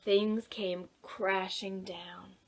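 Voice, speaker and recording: A woman's voice: mid-range voice, flat delivery, extremely slow, a little hiss or hum. A woman leading storytime as a children's librarian, expressively singing and reading to young children in simple language. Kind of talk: singsong children's songs and picture-book read-aloud.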